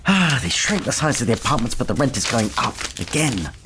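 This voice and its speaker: Radio play father male actor: domineering, sarcastic grumbler, lively pitch swings, clearly noisy recording with clicks. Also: a little fast. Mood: sarcastic, irritated. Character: bitter, sarcastic, domineering father worried about money. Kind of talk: radio drama acting.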